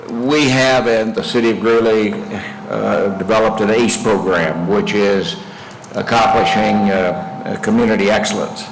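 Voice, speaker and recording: Lowish voiced guy, halting deliberate remarks like a mayor at a meeting, echoey hall. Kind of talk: impromptu public remarks. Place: public meeting room, at a podium. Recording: reverberant meeting room, background noise.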